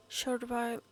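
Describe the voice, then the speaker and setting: A woman's voice: on the high side, a little mellow, slight background noise. A woman livestreaming a video game, giving casual, musing, understated commentary as she plays.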